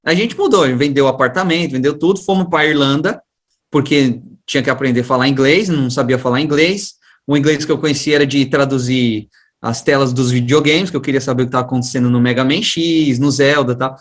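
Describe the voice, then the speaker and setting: A man's voice: medium pitch, a little fast. A man with a São Paulo-area Brazilian accent, an interview guest telling his own story in a casual, slangy, chatty way. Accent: Brazilian, São Paulo region. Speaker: guest, science teacher and education researcher.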